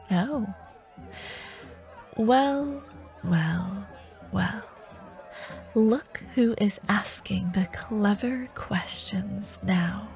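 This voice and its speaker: Woman acting a villainess warlord from a fantasy asmr roleplay, slow n teasing, menacing, music behind her. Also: mid-range voice. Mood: amused, scornful, then teasing, intrigued. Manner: teasing, taunting, slow and deliberate, slipping toward whispers. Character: menacing female dark warlord, taunting nightmare villain.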